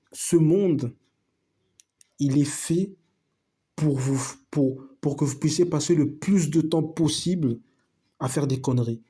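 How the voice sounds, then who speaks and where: Emphatic, slangy man with a husky voice. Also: medium pitch, mellow. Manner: emphatic, repetitive, slangy, addresses viewers as friends. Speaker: video host. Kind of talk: casual opinion monologue to camera.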